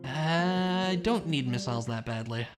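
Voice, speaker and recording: A young man from Australia streaming a game and chatting casually with his viewers, relaxed and talkative. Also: on the high side, unhurried, music in the background. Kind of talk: casual chat while gaming, reading chat.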